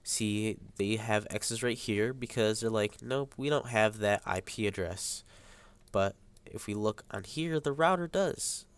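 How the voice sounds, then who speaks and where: Young man, congested nasal sound, slightly low voice, halting student tutorial delivery. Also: clean tone. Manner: hesitant, many ums and uhs. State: congested, stuffy nose. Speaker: high school student. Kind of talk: screen-recorded tutorial. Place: at a computer, voice over screen capture.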